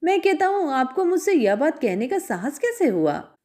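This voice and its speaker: A woman's voice: high-pitched, very animated, normal speed, warm tone, pitched above the performer's own voice. A woman voicing a proud, stern old Brahmin father who scolds harshly in a storybook reading. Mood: outraged.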